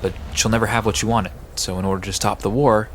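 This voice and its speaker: A man's voice: fairly low pitch, steady tone, fast, close to an everyday voice. A man playing a friendly park guide who explains myths conversationally in an audio drama. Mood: storytelling. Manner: explanatory storytelling. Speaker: storyteller guide. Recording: background noise with outdoor ambience.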